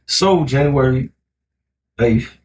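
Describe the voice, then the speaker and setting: A man's voice: medium pitch, slow, a little mellow, a little hiss or hum, low-quality recording. A man in his thirties from Miami with an American accent, chatting casually to camera in a rambling, colloquial way peppered with filler phrases. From Miami, United States. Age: 30s. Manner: rambling, colloquial, frequent "you know" and "like". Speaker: vlogger telling relationship story.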